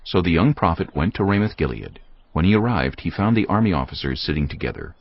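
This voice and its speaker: A man's voice: sits low, expressive, fast. A man narrating scripture solemnly for a dramatized audio production. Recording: dull lo-fi audio with music.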